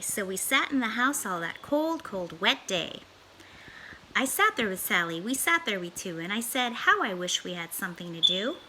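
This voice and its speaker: Very bright, high-voiced woman reading aloud. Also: slight background noise, poor audio. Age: 40s. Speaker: storybook reader. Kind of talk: expressive children's read-aloud narration.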